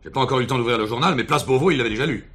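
An actor playing an authoritarian, irritated police director in a 1970s crime drama. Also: male voice, medium pitch, racing, fairly bright tone, slight background noise, lightly acted.

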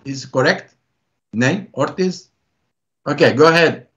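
A man's voice: a little high, animated, a little slow, a bit bright. A man moderating an online panel, giving brief, hesitant introductions. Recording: dull lo-fi video call audio.

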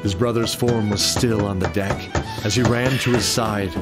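A man narrating a radio play in a deliberate, descriptive, dramatic storytelling style. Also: on the low side, fairly even intonation. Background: music bed.

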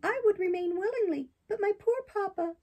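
A woman voicing a naughty, excitable wooden puppet boy in a storybook reading, with a high, eager delivery. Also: brisk.

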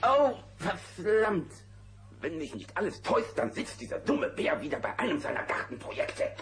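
An actor playing a grumpy, self-important bear who likes order and scolds, in a German children's audio drama. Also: male voice, high-pitched, very animated, moderate pace. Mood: grumpy, bossy. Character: grumpy, bossy, orderly male cartoon bear. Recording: noisy old cassette transfer.

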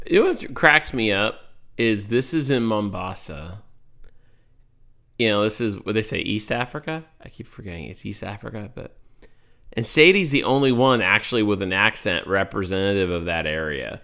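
A man's voice: fairly low pitch, expressive, moderate pace, a bit bright. Chatty man reacting to a game. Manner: chatty, amused. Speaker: let's play host. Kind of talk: casual reaction commentary. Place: home room.

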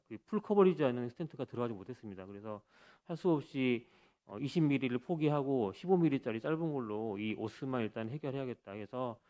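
Guy presenting a heart case at a podium mic, lots of uh and restarts, medium pitch. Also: a little mellow. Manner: hesitant, many fillers and restarts. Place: conference hall stage. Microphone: podium microphone.

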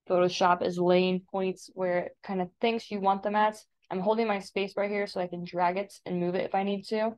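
An American woman teaching a step-by-step software tutorial in an informal, instructive way. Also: medium pitch. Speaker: digital design teacher. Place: voice-over for a screen recording.

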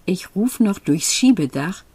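A woman gives an expressive reading of literary verse. Her voice is dark and soft-edged, and she moves very slowly, setting each phrase down with deliberate pauses. The sound is dry, without any room around it. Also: on the low side, husky, no room sound. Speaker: poetry reader.

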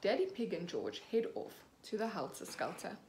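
A young woman in her twenties, a teacher, reading a picture book aloud to children slowly and clearly, acting out the characters. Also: mid-range voice, big pitch swings, darkish tone. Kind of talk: children's storybook read-aloud. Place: small room at home, indoors. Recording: echoey room, faint background hiss.

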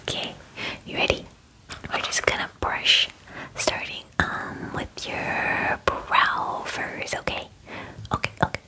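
A woman in her twenties playing a caring werewolf-spa groomer in a gentle, soothing ASMR roleplay, close to the mic. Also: slow, lightly acted, low-quality recording. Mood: soothing, attentive. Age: 20s. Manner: gentle, soothing, softly reassuring. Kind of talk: whispered ASMR personal-attention roleplay. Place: small dim indoor room. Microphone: close mic, face near the camera.

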